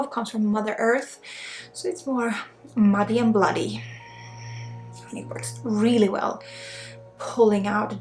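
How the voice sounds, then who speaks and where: A woman with a Swedish accent leading an ASMR energy healing session, soft-spoken with pauses and often dropping into whispers. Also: a little high. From Sweden. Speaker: ASMR energy healer and spiritual guide. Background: music.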